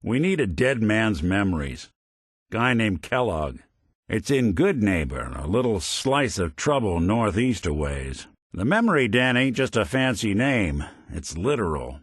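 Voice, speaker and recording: A weary old synthetic gumshoe with a low, expressive voice that rises and drops freely. He is wry and loyal, talking in slangy hard-boiled noir fashion. The game recording is dry and close, with no room around it. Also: breathy edge, no echo, full character voice. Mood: grim, explanatory. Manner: wry, world-weary, slangy detective talk.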